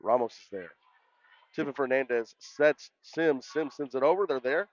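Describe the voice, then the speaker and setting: A man with a loud voice doing live play-by-play volleyball commentary in an excitable, folksy way. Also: medium pitch, normal speed, lo-fi sound. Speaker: sports play-by-play announcer.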